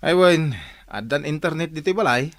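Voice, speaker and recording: A man's voice: fairly high pitch, moderate pace, fairly bright tone, no room sound, studio quality. A man playing an evasive, easily flustered cheating husband in a Filipino radio comedy-drama, with a Filipino accent.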